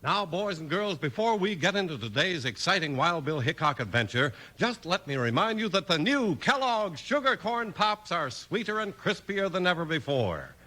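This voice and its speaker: A man's voice: high voice, big pitch swings, moderate pace, hardly any pauses, sharp tone, low-quality recording. A man announcing and narrating a 1950s radio show in a polished, emphatic showman's delivery. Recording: old 1950s radio recording.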